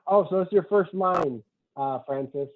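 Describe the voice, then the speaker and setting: A man's voice: on the high side, measured pace. A man reading screenplay stage directions aloud at a table read, matter-of-fact, in short clipped sentences. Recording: thin, phone-like online call audio.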